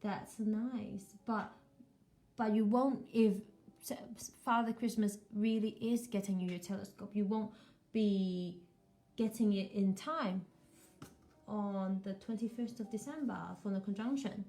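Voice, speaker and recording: A woman's voice: mid-range voice, fairly even intonation, measured pace, dark tone. A woman chatting casually with children as a live storytime host, encouraging and full of questions.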